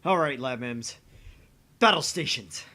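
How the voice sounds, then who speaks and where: A man's voice: medium pitch, expressive, unhurried, bright, forceful tone. Man acting as bombastic, swooping young mad scientist. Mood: commanding, bombastic. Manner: bombastic, grandiose proclamations. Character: pompous, theatrical young self-proclaimed mad scientist. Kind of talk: amateur visual novel voice acting.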